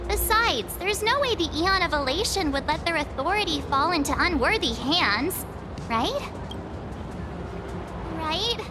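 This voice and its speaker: A woman voicing an excitable, cheerful young girl in an anime-style game, exclaiming and chatting brightly. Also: extremely high pitch, measured pace, long pauses, music in the background. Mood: hopeful, teasing.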